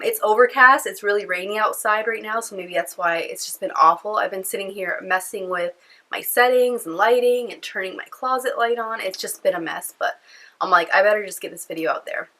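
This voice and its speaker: A young woman talks to the camera at home, her voice nasal and stuffed up from a cold. Her tone is bright and forward. She rambles casually with plenty of fillers. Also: mid-range voice. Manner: chatty, rambling, lots of fillers. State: congested from a cold. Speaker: beauty vlogger. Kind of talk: casual chatty vlog. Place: at home indoors.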